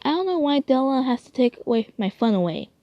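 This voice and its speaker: A woman's voice: high-pitched, sing-song, brisk, dry recording, highs cut off, voice pushed up. A woman voicing an impulsive, easily hurt young male character in a fan story, with a whiny tone. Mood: sulky.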